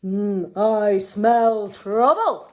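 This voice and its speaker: A woman's voice: medium pitch, very slow, a little mellow. A woman voicing a big, grumpy father bear from a storybook, growly and emphatic. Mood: gruff, indignant.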